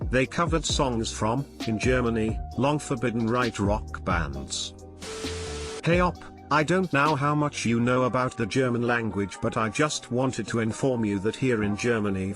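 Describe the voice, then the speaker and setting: A man's voice: mid-range voice, a bit bright. A man steadily reading online stories aloud, with light word-swaps for swearing. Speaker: narrator reading online posts. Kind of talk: scripted story narration. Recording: faint music behind the voice.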